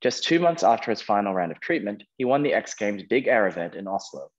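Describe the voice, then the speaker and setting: A man's voice: fairly low pitch, big pitch swings, a little fast. A man hosting a quiz, reading questions out to contestants and responding to their answers in an encouraging way. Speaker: quiz host. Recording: dull lo-fi online call audio.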